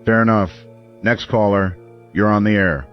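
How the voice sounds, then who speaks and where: A man playing a calm, measured late-night radio call-in host. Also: lowish voice, muffled recording, faint music behind, natural voice.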